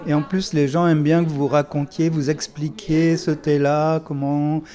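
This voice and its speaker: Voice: mid-range voice, little pitch movement, normal speed, dark tone. An interviewer asking short questions and summing up the guest's answers.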